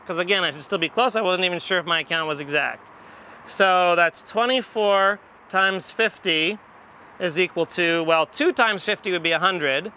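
High-pitched American man explaining methodically. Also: sharp tone. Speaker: math educator. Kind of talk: explanatory lesson, thinking aloud. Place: outdoors in an empty football stadium. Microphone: lapel. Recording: outdoor ambient noise, distant traffic.

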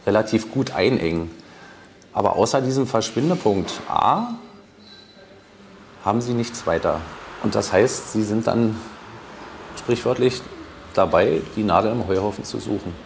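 A man with a German accent, a police investigator explaining his work in formal, halting phrases in an interview. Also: fairly low pitch, a little hiss or hum. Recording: interview with clear background ambience.

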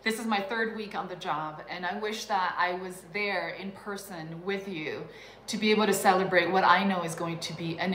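A woman's voice: on the low side, fairly bright tone, a little hiss or hum. A woman recording an earnest video greeting as a program officer, speaking with many ums from a room at home. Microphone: webcam video call style. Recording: roomy video played in hall.

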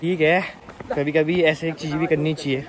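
A man's voice: fairly high pitch, flat delivery, normal speed, crowd noise. A young man in his twenties vlogging outdoors on a hillside trail, joking and teasing his friends into a phone held at arm's length. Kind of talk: casual travel vlog.

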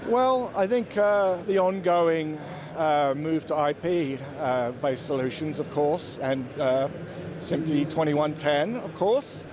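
A man in his forties presenting products as a company representative in an interview, somewhat halting and repeating words. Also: a little high. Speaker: company representative at a trade show. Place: indoor exhibition booth. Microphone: handheld interviewer's microphone. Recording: busy trade-show floor crowd noise.

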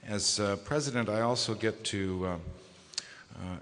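A very low-pitched man addresses a large conference hall from a podium microphone, his voice carrying a long reverberant tail. He speaks in a formal, measured way, often pausing on hesitation fillers as he presents awards. The sound is that of an echoey hall. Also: a bit bright, slight background noise. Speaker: society president presenting awards. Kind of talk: formal award ceremony speech.

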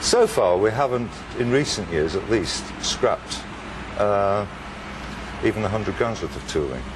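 A man in his fifties with an English accent, a company boss answering interview questions in a measured, understated way. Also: on the low side, lively intonation. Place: indoor workshop, machinery noise behind. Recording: noisy workshop interview.

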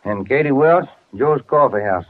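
An actor playing a folksy, plain-talking American police detective in 1940s radio style. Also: male voice, medium pitch, lively intonation, normal speed, close to an everyday voice. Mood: businesslike. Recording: muffled 1940s radio broadcast.

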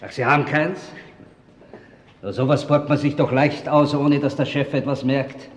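A man's voice: medium pitch, steady tone, normal speed, slight background noise, close to an everyday voice. Male actor as pushy police detective, Viennese accent, sarcastic, hectoring, radio crime play, reverberant room. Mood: needling. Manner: hectoring, sardonic. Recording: echoey reverberant cold-room scene.

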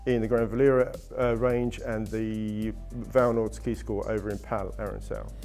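A man's voice: lowish voice, flat delivery, normal speed, a little mellow, soft background music. A middle-aged man answering interview questions about ski holidays, enthusiastic and salesy, with frequent restarts. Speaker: travel company guest. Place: indoor studio set with wooden walls.

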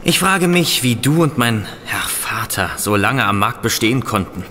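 An actor playing a greedy, arrogant banker's son, cold and sneering, in a German audio drama. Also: male voice, medium pitch, lively intonation, normal speed, bright, forceful tone, slight background noise. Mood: scornful. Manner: haughty, sneering.

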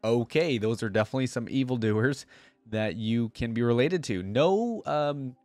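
A man's voice: medium pitch, expressive, moderate pace, darkish tone, dry recording, faint music behind. A young man hosting a casual reaction video, thinking aloud and reading lore at a close desk microphone. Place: indoor gaming room.

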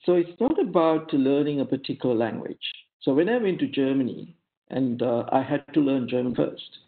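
A man with a Sri Lankan accent, a native Sinhala speaker, giving an informal career lecture in a halting way, often checking in with short tag questions. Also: mid-range voice, lively intonation, vocal fry. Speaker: academic giving a career talk. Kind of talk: informal lecture over slides. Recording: thin, phone-like online audio.

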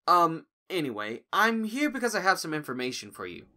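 A man's voice: a little high, sing-song, a little fast, pushed and bright, heavily acted. A man playing a nervous but clever young human in a cartoon audio drama, hesitant and wry. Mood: confident.

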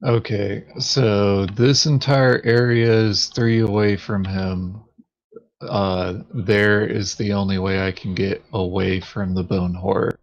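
A man's voice: on the low side, level tone, slow pace. A man playing a board game, chatting casually with droll, joking asides. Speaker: tabletop game player. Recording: dull, lo-fi microphone sound.

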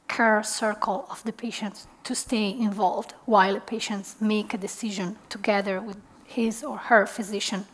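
A woman in her forties speaking for industry on a conference panel, measured and professional, through a headset mic. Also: medium pitch, a bit bright. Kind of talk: panel discussion. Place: indoor conference stage.

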